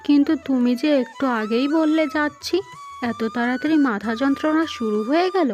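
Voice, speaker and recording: A woman portrays an older cartoon mother-in-law. She speaks politely and coaxingly in a high voice. There is music in the background. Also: brisk, darkish tone, natural voice. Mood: puzzled, coaxing. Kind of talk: Bengali moral-tale cartoon voice acting. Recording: music behind the voice.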